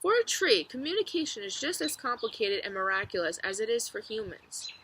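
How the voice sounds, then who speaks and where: A woman reading a children's book aloud as narrator, expressively, with clear storytelling phrasing and emphasis. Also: fairly high pitch, very animated, a little fast, pushed and bright, slight background noise, rough recording.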